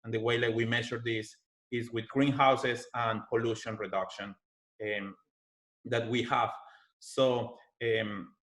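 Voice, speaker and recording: A man with a Colombian accent, speaking English as his second language, presents over a webinar from a computer microphone. His voice sits at an ordinary middle pitch, and he works through his points with many ums, repeated words and restarts. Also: flat delivery, a little slow, fairly bright tone. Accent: Colombian Spanish. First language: Spanish. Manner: speaks with fillers and restarts, non-native phrasing. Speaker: university sustainability manager. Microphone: computer mic on a video call.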